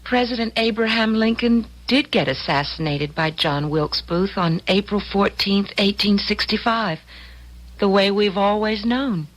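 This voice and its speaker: An actress playing an earnest, excitable young daughter in an old-time radio drama. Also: female voice, medium pitch, a little slow, close to an everyday voice, low-quality recording.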